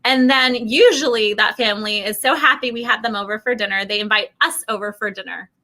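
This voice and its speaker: Bright, high-voiced woman chatting. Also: fairly quick. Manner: animated, chatty, acts out quoted remarks. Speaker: guest sharing family travel experiences. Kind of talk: casual conversational storytelling.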